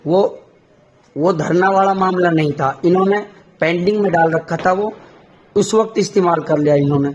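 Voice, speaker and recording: A man's voice: high voice, normal speed, slight background noise. A man arguing insistently on a phone call, repeating himself for emphasis. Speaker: caller on a recorded phone call. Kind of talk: heated phone conversation about local politics.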